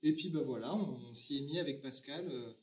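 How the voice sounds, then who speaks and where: A man from France, an actor, casually answering interview questions in an animated, hesitant way, searching for words. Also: mid-range voice, a little fast, a little hiss or hum. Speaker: theatre actor and company founder. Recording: roomy, distant mic, dull sound, faint music.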